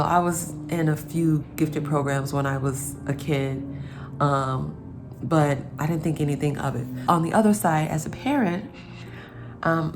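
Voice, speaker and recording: A woman in her thirties with a low, congested voice talks slowly and casually, as if chatting across a kitchen table. She pauses often to think things through. Soft music sits faintly underneath. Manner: reflective, thinking out loud with many ums. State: congested, getting over a cold. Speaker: vlogger, parent. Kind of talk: casual talk to camera.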